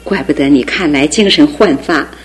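A woman voices a middle-aged mother in a radio play, doting and anxious over her son. Her voice runs a little low, tender and fussing, with gentle scolding. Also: moderate pace, lightly acted. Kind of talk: radio drama acting.

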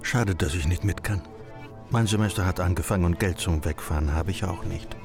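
An actor playing a wordy, resigned, ironic student friend in a radio drama, speaking in a measured, dry manner. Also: male voice, sits low, a little mellow, soft background music. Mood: musing, teasing. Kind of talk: literary radio drama acting.

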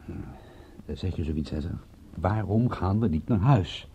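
A wry, stubborn male private detective in a radio play. His voice is husky and his offhand delivery swings widely in pitch. The sound is dull and muffled, as on an old broadcast. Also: animated, a little slow, mellow, exaggerated voice. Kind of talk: radio drama acting.

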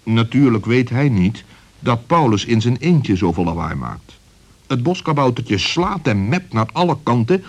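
A man narrating a scripted children's story as storyteller, in a vivid, expressive and dramatic way. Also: mid-range voice, muffled recording.

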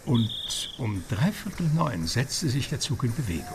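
An actor playing a genteel, wry butler who narrates a radio play and makes asides to the listener. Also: male voice, medium pitch, very animated, a little slow, very noisy. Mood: measured storytelling. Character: James, a genteel English butler telling the tale.

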